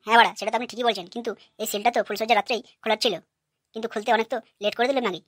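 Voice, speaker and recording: Woman doing a rapid-fire, brash young son-in-law. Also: on the high side, steady tone, fairly bright tone, no room sound, clean studio sound. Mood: angry, ranting. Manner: brash, ranting. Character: foul-mouthed, hot-tempered, aggrieved young son-in-law. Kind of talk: cartoon comedy dialogue.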